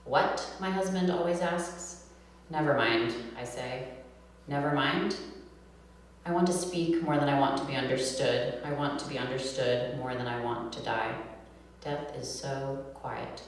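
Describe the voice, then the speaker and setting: A woman's voice: extremely low pitch, measured pace. An American woman with a nasal voice, giving a live poetry reading and introducing poems casually in her own words. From New York City, USA. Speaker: poet reading own work. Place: reverberant room at a live reading event. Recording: echoey hall, distant mic, humming noise.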